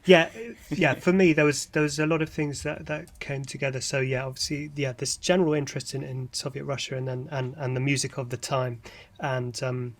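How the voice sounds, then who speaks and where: A man's voice: medium pitch, little pitch movement, a little fast. A man talking casually in an interview as a composer, hesitant and self-effacing. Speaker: composer interviewed about an audio drama.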